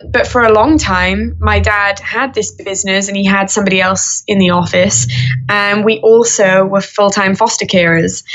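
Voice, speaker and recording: A young woman speaks as a podcast guest, recounting her experiences earnestly and at a steady pace. Her voice sits at an ordinary middle pitch for a woman. The recording is dull and muffled. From Wales. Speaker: guest singer-songwriter. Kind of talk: casual podcast interview. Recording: muffled lo-fi audio.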